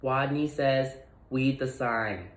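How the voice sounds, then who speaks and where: A man's voice: medium pitch, slow pace, echoey room, lightly acted. A man voicing a timid little male rodent in a children's storybook, who says W in place of R. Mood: timid, squeaky. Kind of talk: children's storybook read-aloud.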